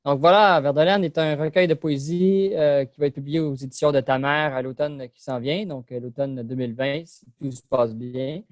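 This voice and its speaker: A man talks about his poetry book in a casual, halting way with many fillers. The recording is dry and close. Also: mid-range voice, steady tone, no echo. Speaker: poet presenting his book. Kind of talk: casual presentation.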